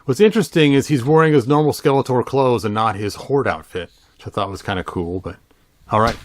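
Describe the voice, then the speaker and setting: A man giving a relaxed, chatty read-through of a picture book, with playful and enthusiastic fan commentary. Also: medium pitch, fairly quick, dry recording. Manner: playful, enthusiastic fan asides with vocal sound effects. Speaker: YouTube host reading a children's picture book aloud.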